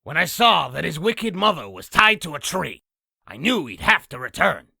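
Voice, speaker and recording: A man voicing a boastful raspy demon, telling an exaggerated battle story theatrically for a comic dub. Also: on the high side, very animated, moderate pace, bright, forceful tone, no room sound, exaggerated voice. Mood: gloating. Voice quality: raspy, gravelly. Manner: theatrical, self-aggrandizing. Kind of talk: comic dub character narration.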